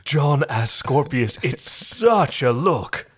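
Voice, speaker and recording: A man co-hosting a podcast, recapping in a casual, enthusiastic, animated way. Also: mid-range voice, slow, slightly rough. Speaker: podcast co-host. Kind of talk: casual episode recap chat.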